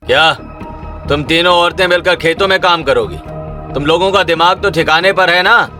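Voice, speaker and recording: Man doing a surly village husband, bright and forceful. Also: on the high side, normal speed, soft background music. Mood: scornful, angry. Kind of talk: cartoon story voice acting.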